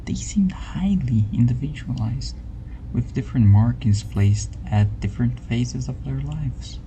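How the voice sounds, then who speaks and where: Woman as an eerie scientist, very dark breathy voice. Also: rumbling low, expressive, measured pace, a little hiss or hum, low-quality recording. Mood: eerie, hushed. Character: suspicious female scientist-historian, calm and quietly eerie. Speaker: researcher giving a briefing. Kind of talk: spooky ASMR roleplay, soft-spoken.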